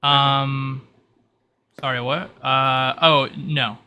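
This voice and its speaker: The man at the head of an online tabletop session, briefing players in halting bursts with a bright edge, keys clicking in the background. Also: mid-range voice, little pitch movement. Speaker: game master. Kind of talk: narrating a tabletop game, in and out of character. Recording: noisy mic with keyboard clatter.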